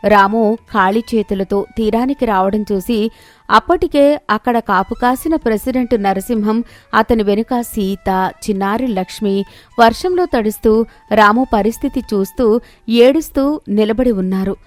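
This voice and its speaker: A woman's voice: on the high side, measured pace, dry recording, faint music behind. A woman narrating a scripted moral story in a dramatic storytelling style.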